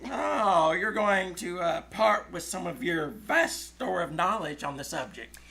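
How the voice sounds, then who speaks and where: A woman's voice: on the low side, lively intonation, unhurried, vocal fry, pushed and bright, exaggerated voice. A woman playing a wisecracking kid brother with dry, mocking asides, in 1940s radio comedy style on a noisy live stage recording. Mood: sardonic. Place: small-town theater stage, live performance.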